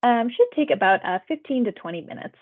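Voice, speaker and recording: A woman's voice: a little high, animated, a little fast, husky. A woman working as a public health phone interviewer, asking survey questions in a polite, procedural, conversational way. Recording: tinny phone-like audio.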